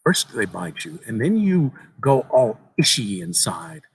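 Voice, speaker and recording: A man voicing a precocious, solemn little boy who explains things slowly and deliberately in a dramatic horror story reading. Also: mid-range voice, sing-song, slightly rough, no room sound, heavily acted. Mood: eerie, calm.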